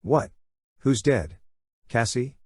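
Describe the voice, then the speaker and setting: Frantic teenage boy fighter played by a male narrator. Raised pitch, husky, grief-stricken wailing, adventure audiobook. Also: animated, takes it slowly, no room sound, clean studio sound. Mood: frantic alarm. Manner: wailing, yelling.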